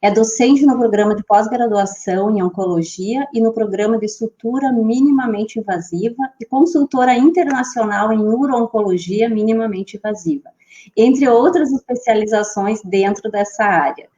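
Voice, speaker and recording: A woman's voice: medium pitch, normal speed, dark tone, muffled recording. A woman hosting an online health panel, introducing guests and asking questions with many restarts. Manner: hesitant, with many restarts and fillers. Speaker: panel host.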